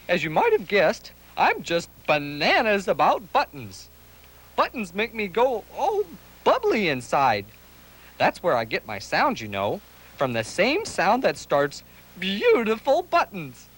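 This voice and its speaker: An excitable, bubbly puppet from a children's educational TV show. The voice sits high and leaps wildly up and down, exclaiming like someone mad about buttons. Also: male voice, measured pace, exaggerated voice. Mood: gleeful, boastful.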